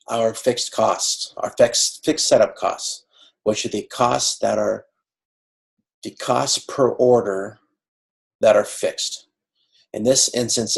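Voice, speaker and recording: Low-pitched, creaky male instructor. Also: darkish tone, poor audio. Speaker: instructor of an online college class. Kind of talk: informal lecture working through a cost example. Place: video conference.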